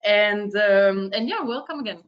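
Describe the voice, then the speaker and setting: A woman's voice: mid-range voice, expressive, unhurried, highs cut off. Woman on a webinar. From France. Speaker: real estate panelist. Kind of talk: online webinar introduction.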